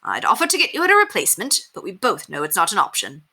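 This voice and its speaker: A woman's voice: mid-range voice, huge pitch swings, quick pace, pushed and bright, heavily acted. A woman voicing a friendly, sheepish young man with breezy, old-fashioned phrasing in a storybook reading. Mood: friendly, apologetic.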